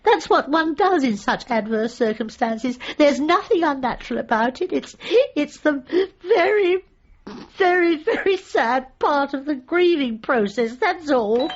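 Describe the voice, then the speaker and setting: A woman's voice: sits high, expressive, a little slow, muffled recording. A woman acting a genteel, effusive British dog lover, feeble but defiant, in a radio comedy drama. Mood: mournful. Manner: effusive, melodramatic, genteel turns of phrase.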